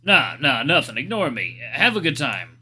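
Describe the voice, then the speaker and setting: A man voicing a guarded, gruff male acquaintance in a visual novel, speaking in a terse, evasive way. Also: fairly high pitch, big pitch swings, normal speed, pushed and bright, faint music behind, full character voice. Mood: evasive, uneasy. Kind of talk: visual novel character voice-acting.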